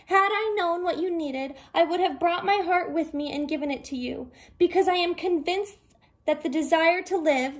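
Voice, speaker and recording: A woman voicing a small, quick-witted female rabbit in a storybook, earnest, polite and pleading. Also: high voice, voice pushed up.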